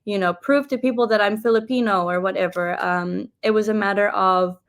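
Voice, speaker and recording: A woman's voice: mid-range voice, steady tone, a little fast. A young woman from New Zealand, a pageant contestant, answering interview questions in a reflective, earnest way and slipping in Filipino phrases.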